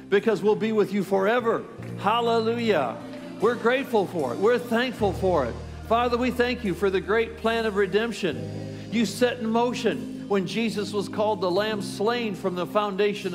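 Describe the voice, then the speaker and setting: Older Southern preacher, high voice, fervent, big reverberant hall. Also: male voice. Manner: fervent, repeats praise phrases. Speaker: pastor. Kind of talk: prayer and sermon. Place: large church stage. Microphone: podium mic. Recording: long hall reverb with music.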